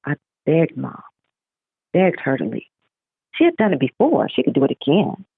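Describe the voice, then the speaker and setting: Tinny phone line, female reader as worried young mother, creaky, pleading, novel reading. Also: sits low, wildly swooping pitch, moderate pace, no echo, full character voice. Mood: pleading, demanding. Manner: demanding, pleading. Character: anxious, disbelieving young mother, stubbornly questioning. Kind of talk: audiobook-style reading of a novel.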